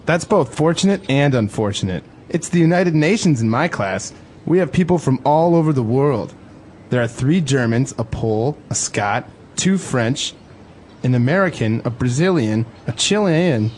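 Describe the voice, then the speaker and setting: A man's voice: medium pitch, animated, moderate pace, a little mellow, slight background noise. A man reading a scripted student dialogue in an enthusiastic, encouraging way.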